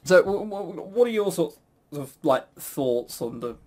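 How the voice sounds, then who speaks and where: A man's voice: fairly high pitch, lively intonation, unhurried, dark tone. A British man hosting a casual podcast, talking in a rambling, blunt, sweary way with frequent stammered false starts. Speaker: podcast host. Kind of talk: casual opinionated chat.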